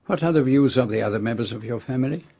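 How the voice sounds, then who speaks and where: An actor playing a courteous, shrewd detective with a French accent in a radio drama, formal and measured as he probes and gently teases. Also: medium pitch, creaky, husky. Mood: courteous, inquiring. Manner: formal, polite, measured, probing. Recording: dull, lo-fi radio drama recording.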